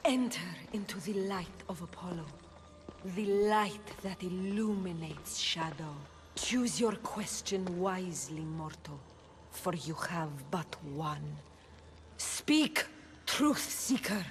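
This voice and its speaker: A solemn oracle priestess. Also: female voice, lowish voice, takes it slowly, slight background noise. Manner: grave, commanding, prophetic. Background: game score music.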